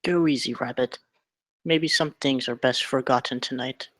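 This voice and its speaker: Casting: male actor for wry, uncaring opium-den keeper, medium pitch, close dry recording, fantasy script read. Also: steady tone, moderate pace, fairly bright tone, dry recording, natural voice. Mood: knowing, cautioning. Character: detached, wry male drug-den dealer with many hands. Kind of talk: screenplay table read.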